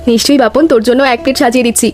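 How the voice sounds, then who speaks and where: A woman's voice: a little high, steady tone, racing, a little mellow, lightly acted. A woman playing a playful, affectionate young daughter-in-law in village cartoon dialogue. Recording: faint music behind the voice.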